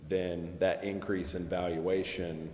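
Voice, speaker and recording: A man advising at a council meeting, explaining in an unscripted, measured and hesitant way. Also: deep voice, level tone, darkish tone. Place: indoor council chamber. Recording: echoey hall with background noise.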